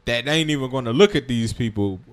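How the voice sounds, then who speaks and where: A man's voice: medium pitch, quick pace. A man with a mid-range voice asks interview questions in an easygoing, slangy way. He wanders through long setups before landing on each question, speaking. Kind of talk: casual interview conversation.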